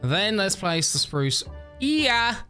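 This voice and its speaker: A man's voice: sits high, big pitch swings, slow, sharp tone, clean tone, faint music behind. A man rambling through casual game commentary, overtired and a bit stuffy and nasal, often laughing at himself. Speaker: gaming YouTuber. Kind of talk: casual let's-play commentary.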